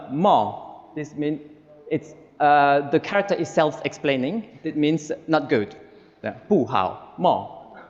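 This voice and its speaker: A man's voice: on the high side, a little mellow. A man with a Taiwanese accent, from Cambodia, patiently explaining vowels and consonants one sound at a time in a recorded lesson. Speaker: language teacher in a recorded video. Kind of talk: slow methodical explanation. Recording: dull, reverberant played-back video audio.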